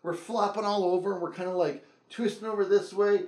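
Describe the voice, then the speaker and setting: A man telling a comic personal story in an excitable, dramatic way, acting out reactions and dialogue as he goes. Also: sits high, fairly quick, reverberant. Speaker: storyteller, show host. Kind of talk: animated comedic personal storytelling.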